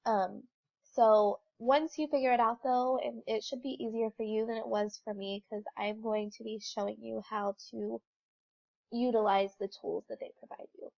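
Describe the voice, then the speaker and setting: A woman's voice: fairly high pitch, steady tone, normal speed, vocal fry, a little mellow, lo-fi sound. A young woman with a congested, stuffy voice, talking through a slideshow presentation in an informal, explanatory way with some fillers. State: congested from a cold. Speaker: student presenter.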